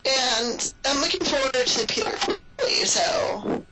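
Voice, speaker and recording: A woman's voice: high-pitched, lively intonation, unhurried, very noisy. A woman talking casually on a podcast, thinking aloud hesitantly and sitting away from the mic.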